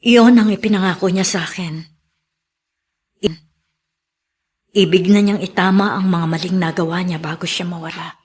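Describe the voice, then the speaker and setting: A woman's voice: fairly low pitch, fairly even intonation, moderate pace, pauses often, darkish tone, breathy edge. An actress playing a tender, forgiving mother who longs for her child, earnest and emotional in melodrama style. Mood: hopeful, earnest. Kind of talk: Filipino melodrama acting.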